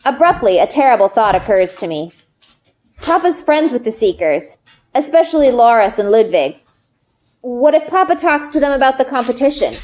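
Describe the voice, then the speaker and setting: A woman reads a children's novel aloud as a first-person narrator. Her voice sits fairly high and swings expressively across each sentence. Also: lively intonation, moderate pace, a little mellow, rough recording.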